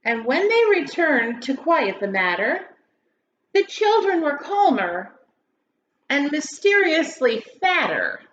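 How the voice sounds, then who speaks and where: A woman's voice: high-pitched, big pitch swings, slow pace. A woman reading a children's story aloud like a grade school teacher, with theatrical, emphatic delivery. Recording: roomy echo, dull sound, faint noise.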